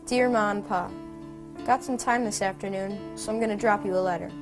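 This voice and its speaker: A teenage girl reads a letter aloud in an even, steady voice of middling pitch. Plucked guitar plays clearly behind her. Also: brisk. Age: teens. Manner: even, read-aloud delivery. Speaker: student filmmaker.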